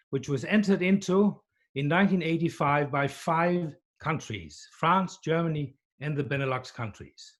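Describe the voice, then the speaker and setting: Slightly high-voiced male panel host. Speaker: host, moderator. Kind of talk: moderating an online panel.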